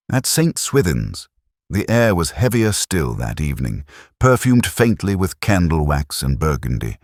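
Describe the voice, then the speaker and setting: A man giving a measured, deliberate first-person reading of a literary detective story, as its narrator. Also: extremely low pitch, no room sound, studio quality.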